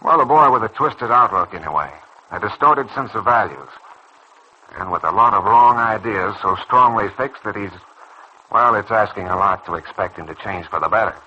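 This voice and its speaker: A man's voice: fairly low pitch, moderate pace, lightly acted. A man acting in a vintage radio soap as a stubborn, judgmental young doctor, insistent and defensive. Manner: insistent, earnest, defensive delivery. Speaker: radio serial actor. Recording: dull, noisy old radio recording.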